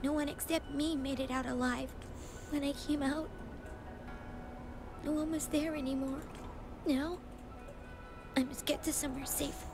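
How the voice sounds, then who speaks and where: An actress voicing a plucky, earnest little orphan girl in an anime video game, with a soft, girlish voice and simple childlike phrasing. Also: sits high, little pitch movement, unhurried, long pauses. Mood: sad, hushed. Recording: game music clearly behind voice.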